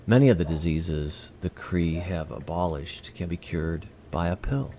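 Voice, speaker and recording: Man playing a gravelly, formal alien emissary. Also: low voice, warm tone, slight background noise. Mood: earnest, measured. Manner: formal, measured, precise wording. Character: polite, curious, formal male alien emissary. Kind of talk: audio drama acting.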